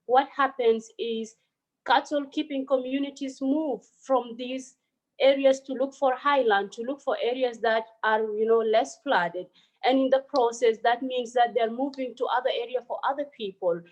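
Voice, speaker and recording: Woman, slightly high voice. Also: rough recording. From South Sudan. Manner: earnest, frequent fillers. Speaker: panelist. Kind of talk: online panel presentation. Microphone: phone.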